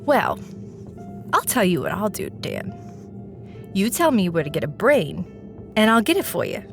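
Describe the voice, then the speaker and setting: A woman's voice: medium pitch, wildly swooping pitch, a little slow, creaky, over music, exaggerated voice. An actress playing a curious, playful, slightly squeamish young woman in an audio drama, casual and inquisitive. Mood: breezy.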